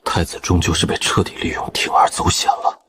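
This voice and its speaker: A man's voice: brisk, fairly bright tone, lightly acted. A man playing a stern, loyal and fiercely protective general in a Chinese costume drama. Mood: grave.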